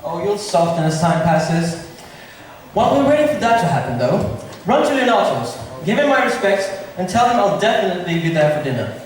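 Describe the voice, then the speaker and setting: A man playing a high-voiced genial stage prince. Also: big pitch swings, a little fast. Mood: amused, assured. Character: genial noble prince, confident matchmaking friend. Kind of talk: amateur school stage acting of modernized Shakespeare comedy. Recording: reverberant hall, distant mic, noisy.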